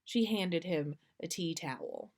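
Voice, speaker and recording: A woman's voice: on the low side, lively intonation, measured pace. A woman reading fiction aloud as a storyteller and podcast host.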